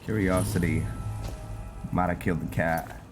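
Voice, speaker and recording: A man giving casual game commentary, musing and halting as he thinks aloud to himself. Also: low-pitched, little pitch movement, over music. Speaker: gameplay host narrating a roleplay playthrough.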